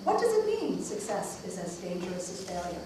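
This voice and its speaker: A woman's voice: on the low side, huge pitch swings, a little fast. A woman playing a serene ancient sage who declaims cryptic wisdom in an amateur puppet show. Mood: teaching. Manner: declaiming, oracular. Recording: echoey noisy room, far from mic.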